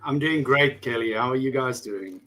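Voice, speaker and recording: This is a South African man in his forties, a guest telling his story in a casual interview. He rambles and hesitates, filling gaps with ums and you-knows. His voice sits at an ordinary middle pitch for a man. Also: moderate pace, pushed and bright. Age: 40s. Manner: rambling, hesitant, many fillers. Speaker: guest activist.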